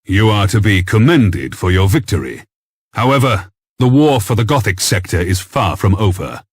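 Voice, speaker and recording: An actor playing a stern, authoritative naval lord admiral in a video game, briefing in a formal military manner. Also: male voice, on the low side, sing-song, normal speed, breathy edge, no room sound, studio quality.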